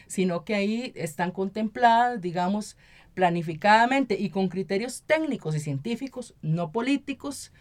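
A Costa Rican woman giving earnest, explanatory interview answers as an activist, often checking in with short tag questions. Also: medium pitch. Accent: Costa Rican Spanish. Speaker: interview guest, environmental activist and municipal council member.

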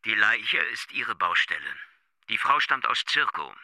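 An actor playing a condescending, sardonic East German state prosecutor in a radio drama, giving clipped, officious orders. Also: male voice, lowish voice, lively intonation, unhurried, bright, forceful tone, no echo, full character voice. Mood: curt, demanding.

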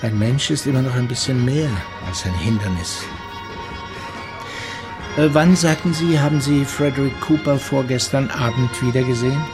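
An actor playing a middle-aged senior police inspector in a German radio crime drama, polite, measured and gently ironic. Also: male voice, mid-range voice, music in the background, close to an everyday voice. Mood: probing. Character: senior police inspector, courteous, wry and probing. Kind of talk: German radio play acting.

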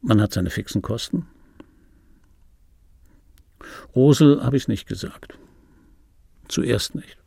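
A middle-aged man with a mellow, gravelly voice recorded close and dry. He muses aloud as a wry, world-weary dubbing actor in a radio play. His delivery is laconic and intimate, like private reminiscing. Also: mid-range voice, animated, measured pace, no room sound, full character voice. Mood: wry, resigned. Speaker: radio play actor, first-person narrator.

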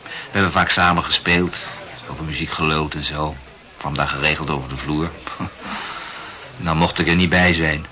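An actor in a radio play playing a chatty, self-absorbed young amateur writer who rambles and corrects himself insistently. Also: male voice, very deep voice, fairly even intonation, normal speed, bright, forceful tone. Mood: aggrieved. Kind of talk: literary radio play dialogue.

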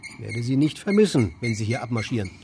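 A man acting in a radio play as an aimless, sardonic loiterer who speaks in a laconic, provocative, deadpan way. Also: mid-range voice, fast, vocal fry, noisy background. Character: aimless idle man, sardonic, stubborn department-store loiterer. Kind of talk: German radio play dialogue and first-person narration.

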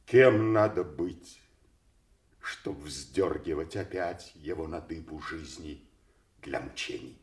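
A man's voice: rumbling low, slow pace, reverberant. An actor playing a loyal, sorrowful nobleman in a king's service, in a solemn, declamatory classical stage manner. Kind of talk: classical theatrical audio drama acting.

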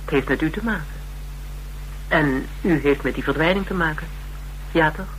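An actor playing a polite, inquisitive interviewer in a radio drama, probing with courteous, lightly amused questions. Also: male voice, a little high, moderate pace, a bit bright, natural voice, poor audio. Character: polite, inquisitive male interviewer sizing up a recruit. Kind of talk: naturalistic Dutch radio drama acting. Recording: radio recording with background hiss and hum.

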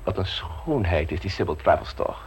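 A man's voice: sits low, animated, moderate pace, slight background noise. An actor playing a witty, self-assured young male secretary in a radio play, talking in a breezy, teasing way. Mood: cocky.

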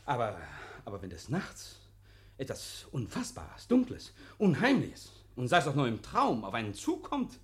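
Überdrehter, nervöser Mann, lebhafte Stimmführung. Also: male voice, medium pitch, wildly swooping pitch, normal speed. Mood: agitated. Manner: effusive, stammering, repeats himself, chuckling. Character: nervous, over-eager male murder suspect hosting police. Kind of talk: 1950s German radio play acting.

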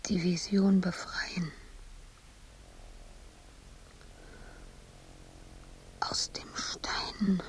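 Anguished woman sculptor, very slow halting delivery, creaky voice, whispered fragments, obsessive, exhausted, radio play. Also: mid-range voice, mellow, full character voice, rough recording. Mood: entranced, murmuring. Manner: halting fragments, often dropping into breathy whispers. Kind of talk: dramatic radio play monologue.

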